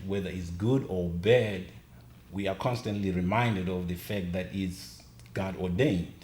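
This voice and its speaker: A man's voice: deep voice, a little mellow, echoey room, slight background noise. A middle-aged man from Zimbabwe, talking earnestly as a podcast guest and repeating key phrases for emphasis. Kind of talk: casual conversation.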